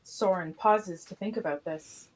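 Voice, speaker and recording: A woman's voice: on the low side, unhurried, low-quality recording. A woman reading scripted stage directions as narrator of an amateur radio play.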